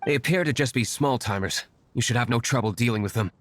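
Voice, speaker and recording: A man's voice: medium pitch, fast talker, a bit bright, slight background noise, natural voice. A man playing a brooding, aloof young nobleman and secret vigilante in a video game, speaking sternly and formally with curt disdain. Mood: brisk, dismissive.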